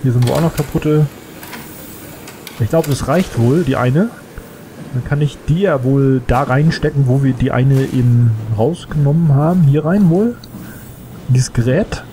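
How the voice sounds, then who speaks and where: A man's voice: mid-range voice, measured pace, mellow, slight background noise. A man who has a cold, chatting casually and thinking aloud as he plays a game. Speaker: let's play gamer. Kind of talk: casual gameplay commentary.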